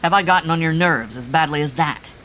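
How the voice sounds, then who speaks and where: A man voicing a young male doctor who asks sharp, pressing questions. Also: medium pitch, a little fast, a little hiss or hum, close to an everyday voice, low-quality recording.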